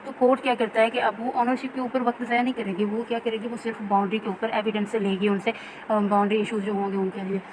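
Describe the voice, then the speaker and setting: A woman's voice: a little high, quick pace. A woman with a Pakistani accent giving an informal recorded lecture as a law teacher, explaining and often checking that students follow. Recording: clear steady background noise.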